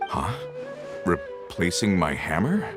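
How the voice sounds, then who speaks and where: A low-voiced earnest working villager. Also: male voice, big pitch swings, slow pace, full character voice. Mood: weary. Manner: plain-spoken, casual. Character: weary working-class family man, villager, plain-spoken, earnest. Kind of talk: anime game voice acting. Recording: background music under the dialogue.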